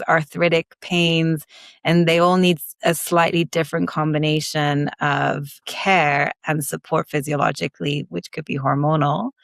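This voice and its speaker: A woman osteopath from Belgium, raised in London, with a blended American, Belgian and British accent, explaining her work in measured terms in a podcast interview. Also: deep voice, creaky, no room sound. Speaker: manual osteopath guest.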